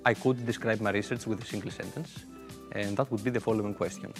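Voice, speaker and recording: A man from Greece, a PhD researcher, introducing his research in a measured way. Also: on the low side, fairly even intonation, over music. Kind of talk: short video self-introduction.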